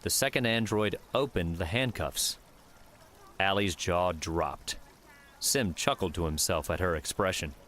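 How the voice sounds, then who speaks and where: A man's voice: deep voice, a little slow, fairly bright tone. A man reading scripted audiobook narration as the storyteller.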